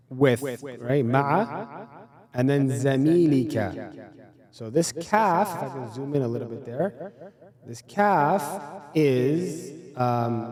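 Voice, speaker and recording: A man teaching a beginner Arabic class, explaining words patiently and step by step to students in a classroom. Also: on the high side. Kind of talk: classroom lesson.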